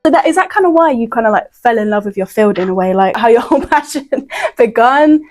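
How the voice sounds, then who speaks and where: A woman's voice: a little high, lively intonation, fast, darkish tone, faint music behind. A woman hosting a student radio podcast, asking questions casually with many fillers and encouraging murmurs. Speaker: podcast host.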